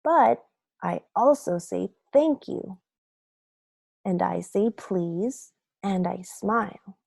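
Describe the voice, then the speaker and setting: A woman voicing a grumpy, remorseful sunflower seed telling his own story in a children's picture book read-aloud, in a dramatic, deliberate, confessional manner. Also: medium pitch, expressive, gravelly, darkish tone, husky, dry recording, studio quality, heavily acted. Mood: hopeful, earnest.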